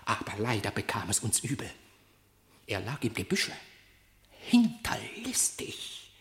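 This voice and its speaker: Grieving old rooster, radio verse drama: declamatory, plaintive, very wide swings in pitch. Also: male voice, wildly swooping pitch, a bit bright, in a whisper, heavily acted. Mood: anguished. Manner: declamatory, plaintive, with drawn-out words. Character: grieving old rooster father pleading before his king.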